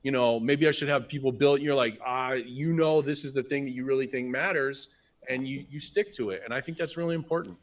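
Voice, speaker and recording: A man's voice: medium pitch, brisk. Enthusiastic man chatting on a live stream. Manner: enthusiastic, rambling with restarts. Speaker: business coach, co-host. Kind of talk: casual live stream chat.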